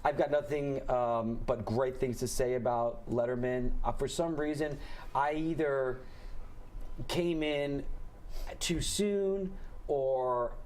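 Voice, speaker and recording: A comedian in his forties, a man, chatting casually as a guest on a morning radio show, piling up stories with quick restarts. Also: medium pitch, poor audio. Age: 40s. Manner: animated storytelling, quick restarts.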